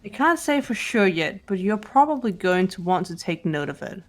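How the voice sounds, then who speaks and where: A woman's voice: medium pitch, very animated, moderate pace, close to an everyday voice. Woman voicing a cool, analytical teenage girl investigator. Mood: calm, analytical. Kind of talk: amateur fan voice acting of a game script.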